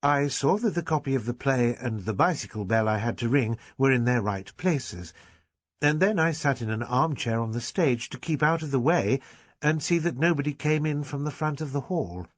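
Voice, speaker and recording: A man reading aloud and voicing an elderly rector who is gentle, fussy, slow and measured. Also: medium pitch, lively intonation, dry recording, pitched above the performer's own voice, natural voice.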